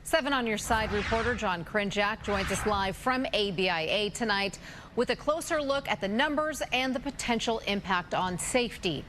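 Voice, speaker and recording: A woman with an American accent reads a scripted news introduction at an average female pitch. Clearly audible background noise and ambience sit behind her. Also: lively intonation, normal speed. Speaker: news anchor.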